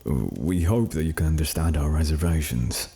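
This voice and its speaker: An actor playing a solemn, reserved archangel in human guise in an audio drama. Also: male voice, rumbling low, moderate pace, mellow.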